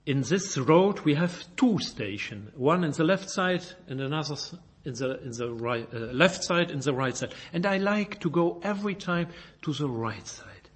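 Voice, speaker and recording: A man from Belgium, a native French speaker with a Belgian French accent, preaching and reading scripture in halting English, searching for words and correcting himself. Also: fairly high pitch, expressive, breathy edge. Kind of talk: informal Bible sermon with scripture reading.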